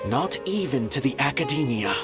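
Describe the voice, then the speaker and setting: A man's voice: medium pitch, animated, normal speed, close to an everyday voice. A man playing a stern, grandiose theatre veteran in a video game, with music behind him. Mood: impassioned. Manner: declamatory, grandiose.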